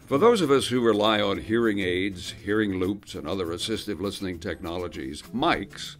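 A man narrating a scripted awareness video in an upbeat, lightly joking voice-over. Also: sits low.

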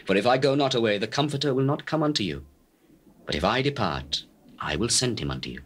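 An actor playing a solemn male teacher addressing his disciples in measured, declamatory scripture drama. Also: medium pitch, animated, a bit bright, slightly rough. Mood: consoling, grave. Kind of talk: dramatized King James scripture reading.

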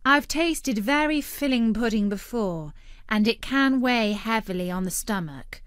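A woman's voice: fairly high pitch, animated, a little slow, clean tone, no room sound, clean studio sound. A woman voicing a polite, proper female dog who explains things carefully. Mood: prim explaining.

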